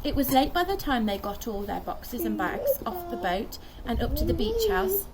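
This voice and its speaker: A woman's voice: sits high, normal speed. A young woman reading a children's story aloud expressively, acting out the dialogue like a primary school teacher. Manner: animated, acts out dialogue. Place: outdoors in a garden by a wooden fence. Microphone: camera a short distance away. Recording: noisy outdoor recording with clicks.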